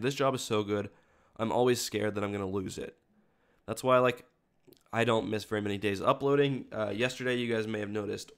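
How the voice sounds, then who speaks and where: A man with a fairly low, smooth voice, a little congested from a cold. He talks casually as a streamer addressing his viewers. His phrasing rambles and doubles back as he corrects himself. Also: a bit bright. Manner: rambling, self-correcting, stop-start phrasing. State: has a cold, sniffly congested voice. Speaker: video creator hosting a livestream. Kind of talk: casual livestream chat with viewers.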